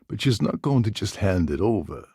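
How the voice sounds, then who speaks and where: An actor voicing a cynical, scheming space pirate pilot in a video game, with a gravelly, husky voice and a wry delivery. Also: male voice, lowish voice, sing-song, normal speed, mellow, dry recording, clean studio sound, full character voice. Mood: dry, resigned. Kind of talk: video game character dialogue.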